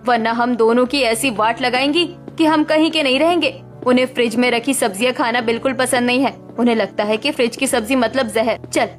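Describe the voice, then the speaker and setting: A woman voicing a tired, patient elder daughter-in-law who soothes and warns gently. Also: on the high side, lightly acted.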